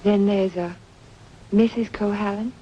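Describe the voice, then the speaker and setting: An actress playing a curious, politely guarded young niece in a mid-century drama, speaking with composure. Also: female voice, medium pitch, fairly even intonation, a little slow, lightly acted. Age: young adult. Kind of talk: mid-century American film drama acting. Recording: dull, noisy vintage soundtrack.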